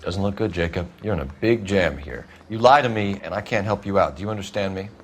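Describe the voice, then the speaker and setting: An American actor playing a stern young 1940s police detective in a video game crime drama, speaking with clipped authority. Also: male voice, deep voice, brisk, slight background noise. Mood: pressing.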